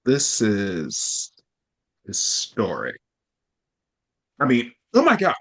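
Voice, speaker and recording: A man plays a smitten young guy in a sitcom table read. He is sheepish and halting, talking slowly, with a voice that swings widely in pitch. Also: wildly swooping pitch, a bit bright, breathy edge, exaggerated voice. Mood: smitten, hesitant. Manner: hesitant, halting delivery.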